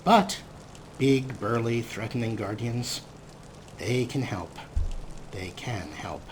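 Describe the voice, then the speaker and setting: A man's voice: mid-range voice, slow, slight background noise, rough recording. A man hosting a game let's play, commenting in a sarcastic, self-mocking way with casual swearing. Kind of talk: gameplay commentary.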